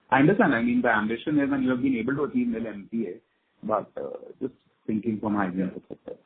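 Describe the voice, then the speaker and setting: A man's voice: medium pitch, slightly rough. A man phoning in as an investor, asking a polite, rambling question in an unscripted way. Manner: rambling, polite, frequent "you know". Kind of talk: spontaneous conference call question. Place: phone call. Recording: noisy, narrow phone line.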